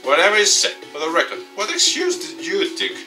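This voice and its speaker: A brusque young female gaming coach from a visual novel, played by a man reading along. The voice is pushed higher, sarcastic and scolding, slightly far from the mic with music playing. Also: huge pitch swings, brisk. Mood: biting sarcasm. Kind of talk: visual novel read-aloud.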